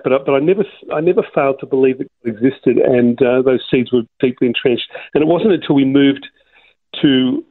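A man's voice: medium pitch, no echo. An Australian man in his sixties from Melbourne, telling his life story as an interview guest with many fillers and restarts. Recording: dry, tinny phone-like line.

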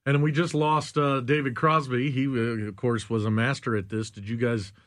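A man's voice: medium pitch, fairly even intonation, moderate pace, a bit bright, no echo. A male radio co-host talking casually on a live studio show. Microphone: studio microphone.

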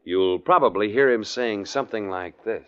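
A male announcer with a smooth, salesy delivery. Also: fairly low pitch, moderate pace. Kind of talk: radio announcing. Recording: muffled 1940s radio broadcast with music.

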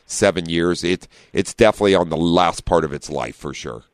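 A man with a deep voice hosting a call-in car radio show, talking in a friendly, chatty way with lots of fillers. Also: fairly quick, dry recording. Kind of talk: casual talk radio hosting. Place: radio studio. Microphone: studio microphone.

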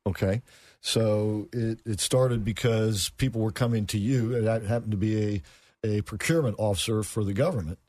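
A man's voice: lowish voice, fairly even intonation, moderate pace, a little mellow. A man hosting a radio talk show, interviewing a guest with frequent restarts.

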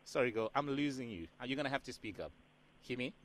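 A man's voice: medium pitch, lively intonation, fast talker, a little hiss or hum, close to an everyday voice. A man playing a sassy, overfamiliar prank caller who keeps calling the listener "girl" and "doll". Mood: sassy teasing. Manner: teasing, calls her "girl" and "doll". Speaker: radio host doing a prank call.

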